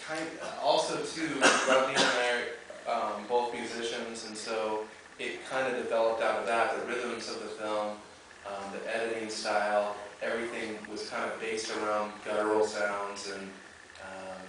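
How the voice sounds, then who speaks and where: A man speaking casually and haltingly as a film director answering questions, often repeating himself. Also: lowish voice, flat delivery, a bit bright. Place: movie theater, audience present. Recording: very echoey theater, distant mic, noisy.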